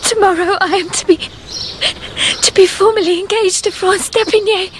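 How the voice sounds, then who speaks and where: A woman's voice: very high voice, steady tone, unhurried, noisy background. An actress playing an anguished young woman in love in a radio drama, tremulous and pleading. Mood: anguished, tender.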